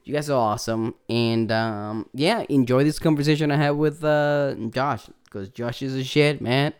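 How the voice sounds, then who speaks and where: Rambling Puerto Rican man, smooth voice. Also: medium pitch, normal speed. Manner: rambling, profane, with many fillers. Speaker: podcast host. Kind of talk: casual podcast intro.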